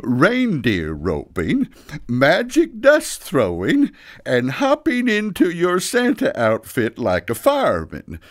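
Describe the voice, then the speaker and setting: A man playing a weary, loving father figure, the Christmas leader, speaking in halting, deliberate announcements in a storybook tale. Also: fairly high pitch, wildly swooping pitch, full character voice. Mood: solemn, deliberate.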